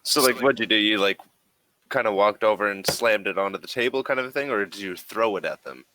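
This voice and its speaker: A man's voice: on the low side, fairly quick, pushed and bright, rough recording. A man acting as game master, casually narrating and asking questions in a hesitant way.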